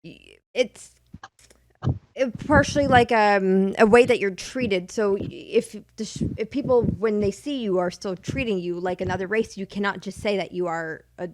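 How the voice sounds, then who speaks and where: A woman hosting a live stream chat, speaking hesitantly with many pauses and restarts. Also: medium pitch, rough recording.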